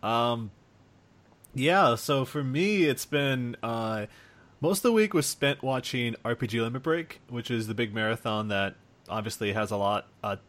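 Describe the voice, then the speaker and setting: A man's voice: medium pitch, a little slow, fairly bright tone. A man hosting a casual chat podcast, explaining things in a hesitant, meandering way. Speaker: podcast host discussing video games.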